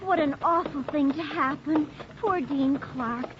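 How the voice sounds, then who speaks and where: A sweet, sympathetic young American college girl. Her voice is very high, on a muffled old radio recording with clear noise. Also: a little slow. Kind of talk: 1940s radio acting.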